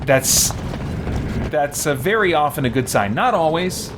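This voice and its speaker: A man's voice: a little high, animated, unhurried. A man hosting a game livestream, chatting casually and hesitantly, thinking aloud as he goes. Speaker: game streamer and host. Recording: clearly noisy background.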